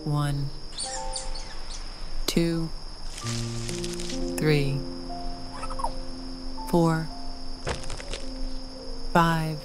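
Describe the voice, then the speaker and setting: A woman narrating a calming bedtime story for toddlers in a soothing, gentle manner, with long pauses. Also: low-pitched, music in the background.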